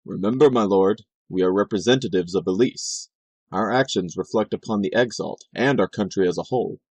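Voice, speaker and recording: A man plays a dutiful knight advising his lord in a fantasy audio drama. The voice is lowish, rough-edged and dry, with measured, proper diction and a stern air. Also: no echo. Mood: admonishing. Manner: formal, measured, admonishing. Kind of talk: fan audio drama acting.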